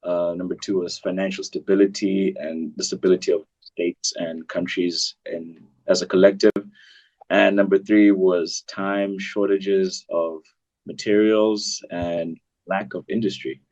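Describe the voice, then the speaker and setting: A man from South Africa speaks as a doctor on a panel, working through numbered points with frequent fillers. His voice is very low. The recording sounds dull and lo-fi. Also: level tone, unhurried, a little mellow. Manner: hesitant, lists points with fillers. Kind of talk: panel discussion.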